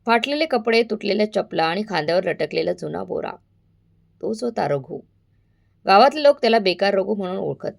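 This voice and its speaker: A woman's voice: medium pitch, expressive, brisk, creaky. A woman narrating a scripted story in a dramatic, suspenseful way, addressing listeners directly.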